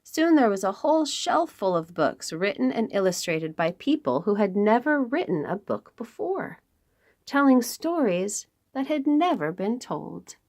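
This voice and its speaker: A woman reading aloud to young children as a storytime host, expressive, with dramatic emphasis and pauses. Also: medium pitch.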